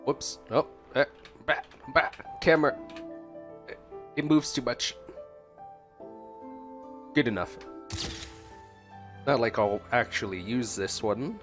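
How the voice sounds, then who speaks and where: A man giving casual, halting gameplay commentary as a streamer, musing aloud and reading out dialogue choices. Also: mid-range voice, lively intonation, a bit bright, soft background music.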